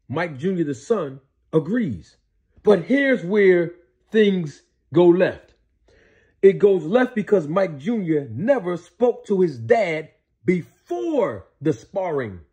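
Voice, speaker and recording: A man's voice: high voice, mellow, husky. A man giving explanatory storytelling commentary as a host, emphatic, repeating his points and posing rhetorical questions. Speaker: commentator hosting a boxing commentary show.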